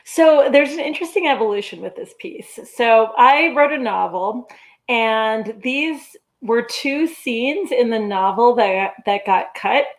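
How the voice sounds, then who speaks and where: A woman's voice: fairly high pitch, lively intonation, measured pace. A woman from New York chatting casually as a guest writer, informal and self-amused. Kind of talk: casual interview chat.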